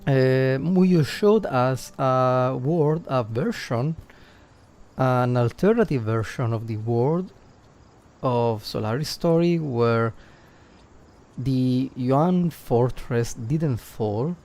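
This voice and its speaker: A man's voice: medium pitch, drawn-out words, darkish tone. A man with an Italian accent, a native Italian speaker livestreaming casual game commentary, thinking aloud and searching for words. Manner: hesitant, searching for words, reflective.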